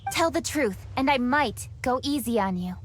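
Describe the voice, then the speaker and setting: An actress playing a calm, resolute young female investigator who speaks in a composed, measured way, in a game cutscene. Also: fairly high pitch, slight background noise, lightly acted. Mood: stern.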